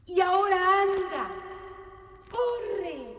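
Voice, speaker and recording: A woman's voice: very high-pitched, very animated, drawn-out words, a bit bright, slight background noise, exaggerated voice. An actress playing a kindly, bossy fairy godmother in a children's storybook drama, theatrical and instructing. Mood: urgent warning. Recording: vintage story record with music behind.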